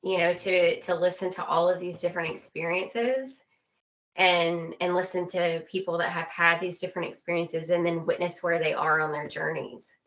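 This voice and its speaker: A woman's voice: lowish voice, flat delivery, normal speed, a bit bright. A woman in casual conversation as a podcast guest, reflective and often rephrasing her points.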